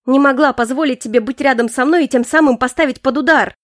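A woman reading the lines of a proud, emotional young queen in an audiobook, earnest and pleading, quick to flare up. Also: high-pitched, dry recording, studio quality, close to an everyday voice. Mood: heated.

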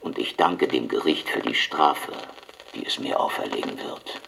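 A man playing a cynical Soviet secret police agent who confesses in a deliberate, formal recital, in a radio drama. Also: extremely low pitch, vocal fry, bright, forceful tone, husky, exaggerated voice, low-quality recording. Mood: grim, resigned.

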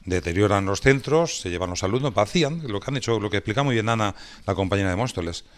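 Guy on a debate panel, lowish voice, explaining things like an activist. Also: animated, fast talker. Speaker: panel speaker at a public debate.

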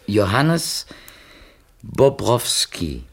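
Wordy bookish editor, husky and animated. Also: male voice, fairly low pitch, drawn-out words, heavily acted. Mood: earnest. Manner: wordy, tentative, self-qualifying. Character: well-meaning, talkative male publisher's editor, bookish and hesitant. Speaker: publisher's editor. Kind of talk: 1970s German radio play dialogue.

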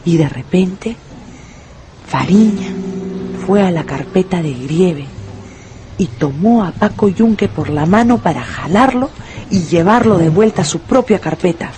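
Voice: mid-range voice, lively intonation, a little slow, noisy background. A narrator delivering a scripted, dramatic story for a radio play.

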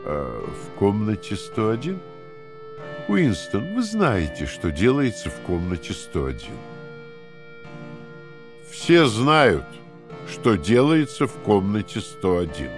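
An actor playing a calm, condescending party interrogator who lectures in a measured, fanatical way in an audio drama. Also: male voice, lowish voice, over music, full character voice. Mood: cold, patronizing. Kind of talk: dramatic audio play acting.

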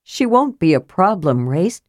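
A woman's voice: fairly low pitch, sing-song, measured pace, a little mellow, no room sound, studio quality. A woman voicing a big, simple-hearted young male warrior who talks earnestly and eagerly to please. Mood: pleading. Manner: pleading, earnest. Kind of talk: audiobook character voice.

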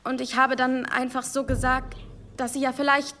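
Nervous, high-voiced village girl. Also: little pitch movement, pushed and bright. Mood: nervous, defensive. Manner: halting, repeating herself nervously. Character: anxious, evasive village girl, defensive and guilty. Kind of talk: German audio drama acting.

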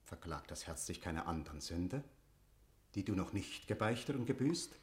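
An actor playing a devout, insistent priest hearing confession, in solemn, declaimed verse for a classical radio drama. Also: male voice, low-pitched, very animated. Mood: grave questioning. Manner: solemn, measured, liturgical declamation.

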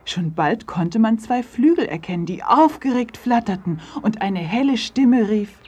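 A woman narrating a scripted story for children, addressing them directly as a measured storyteller. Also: a little high, expressive, dark tone, breathy edge, highs cut off.

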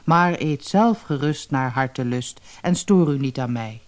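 A storybook narrator voicing a mysterious gaunt man, grave, courteous and formal, with a deep hollow voice. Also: expressive, a little slow, lightly acted. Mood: earnest, calm. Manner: formal, solemn, few words. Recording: noisy old cassette audiobook transfer.

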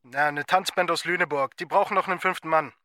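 An actor playing a brisk, informal musician offering a gig in a radio drama. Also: male voice, fairly high pitch, sharp tone, dry recording, studio quality.

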